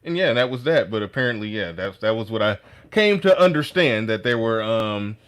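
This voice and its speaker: Low-voiced man, rambling, lots of ums. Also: expressive, normal speed, a bit bright, clean tone. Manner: rambling, many fillers. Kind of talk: casual livestream chat.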